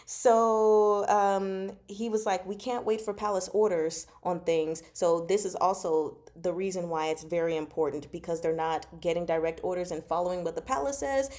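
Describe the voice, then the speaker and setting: A woman giving a casual, chatty book recap as a host, expressive and emphatic, often checking in with the listener. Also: on the low side, normal speed, few pauses. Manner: expressive, conversational, emphatic, often checks in with "okay?" and "you know". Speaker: host of a book discussion vlog.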